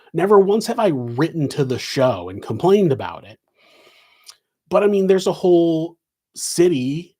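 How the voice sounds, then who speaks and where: Host, male, West Coast American accent, casual live Q&A, digressive and filler-heavy, mid-pitched. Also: darkish tone. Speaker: podcast host answering questions. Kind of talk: rambling live Q&A.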